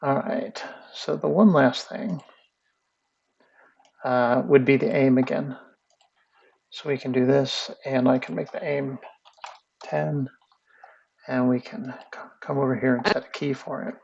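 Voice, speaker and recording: A man teaching animation in a casual screen-share tutorial, thinking aloud in a relaxed way. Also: mid-range voice, a little slow, creaky, pauses often, slightly rough. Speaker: animation instructor. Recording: muffled lo-fi audio with faint music.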